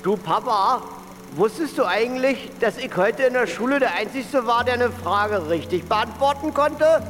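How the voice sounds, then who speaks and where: A man's voice: very high-pitched, animated, normal speed, a bit bright. A man playing a cheeky, naively questioning schoolboy in an East German television comedy sketch. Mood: proud. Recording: archive TV sound, reverberant hall, music.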